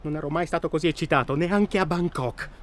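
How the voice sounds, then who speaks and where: A man's voice: a little high, fairly quick, natural voice. A man acting in a radio drama as a well-spoken, self-absorbed hunting traveller who talks insistently and at length. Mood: agitated, confessing. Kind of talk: naturalistic radio drama dialogue. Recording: clear ambient noise behind.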